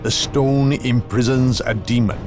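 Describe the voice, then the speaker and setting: An actor playing a zealous, secretive demon-hunting inquisitor in a video game, with a theatrical, measured and ominous manner. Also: male voice, mid-range voice, fairly even intonation, music in the background, natural voice. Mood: grave exposition. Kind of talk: dramatic video game cutscene acting.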